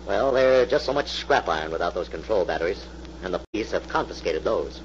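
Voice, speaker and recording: A gallant, reassuring leading man in a vintage radio drama. His voice is lowish, calm and wry, on a muffled recording with faint hiss. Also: fairly quick. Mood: grave, explaining. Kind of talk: 1940s radio mystery acting.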